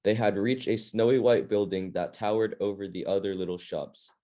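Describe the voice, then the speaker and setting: A man's voice: on the low side, steady tone, normal speed, dark tone. A man leading a reading club, reading a children's fantasy novel aloud in a plain, informal way.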